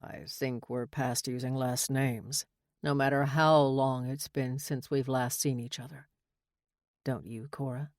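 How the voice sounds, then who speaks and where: A male actor voices a romance hero, a smug and seductive military man with a brooding streak. He speaks in an intimate, teasing way at a middling pitch. The sound is dry, with no room around it. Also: steady tone, a little slow, no room sound, close to an everyday voice. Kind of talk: audiobook romance dialogue.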